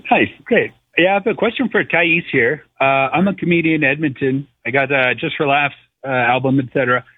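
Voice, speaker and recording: A man in his thirties calling in to a radio show over the phone, chatting in a casual, rambling, halting and jokey way. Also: medium pitch, husky, no echo. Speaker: caller, comedian. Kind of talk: casual chat. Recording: tinny, narrow phone line on radio.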